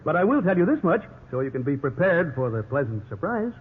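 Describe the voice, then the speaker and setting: An actor playing an upbeat, evasive real estate salesman in a 1950s radio drama, with smooth, ingratiating sales patter. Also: on the high side, sing-song, fairly quick. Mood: salesy, upbeat. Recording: tinny, hissy 1950s radio recording.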